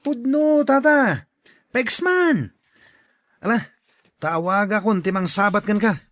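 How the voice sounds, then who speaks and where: A man plays a crooked dealer in an audio drama, jovial and persuasive. His voice sits fairly high and moves around a lot, recorded dry and close. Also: animated, takes it slowly, fairly bright tone, dry recording, heavily acted. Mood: coaxing. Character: scheming, jovial male drug dealer luring poor neighbours.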